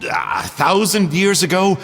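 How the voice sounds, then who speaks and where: A man's voice: high voice, sing-song, unhurried, a bit bright, big-room sound, heavily acted. A man voicing a curious, overly chummy stranger in a video game, theatrical, folksy and coaxing. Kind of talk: video game character dialogue.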